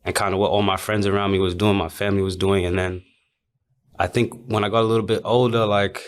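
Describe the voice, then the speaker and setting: A man's voice: on the low side, flat delivery, fast. A young man from South Sudan and Sydney chatting casually and reflectively as a guest in an interview. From South Sudan and Sydney, Australia. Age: young adult. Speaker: professional basketball player, interview guest.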